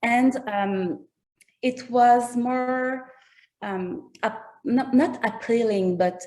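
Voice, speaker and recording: Slow-talking woman with a French accent. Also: on the high side, mellow. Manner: thoughtful, searching for words in a second language. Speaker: musician guest. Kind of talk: casual interview chat.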